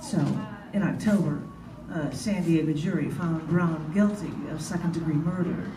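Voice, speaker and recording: Female author at a live bookstore reading, deliberate pacing with pauses, distant mic, clearly noisy background. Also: low voice, reverberant. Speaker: writer reading own prose and poems. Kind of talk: live literary reading of prose and poetry. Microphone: camera mic at a distance.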